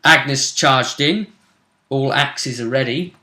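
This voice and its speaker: Man, bright forceful voice, casual game-session storytelling, halting delivery, dramatic emphasis. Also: medium pitch. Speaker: co-host recapping a tabletop role-playing session.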